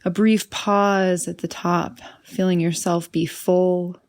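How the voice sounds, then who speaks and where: A woman leading a guided meditation in a soothing, unhurried manner, often trailing off into breathy softness. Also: lowish voice, level tone.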